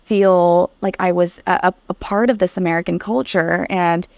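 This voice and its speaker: A woman from Vietnam, a podcast guest, candidly telling her personal story with lively, animated recollection. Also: on the low side, normal speed, gravelly, dry recording. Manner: candid, lively, laughs while recalling. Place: Vietnamese-born guest, raised in America.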